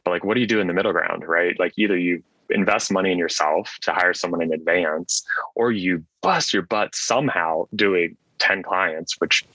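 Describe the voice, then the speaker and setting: Deep, bright-voiced man telling casual stories. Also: normal speed, dry recording, rough recording. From Switzerland. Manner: chatty, self-deprecating, peppered with "like" and "right?". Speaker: podcast guest, solo business owner. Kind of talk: casual interview storytelling.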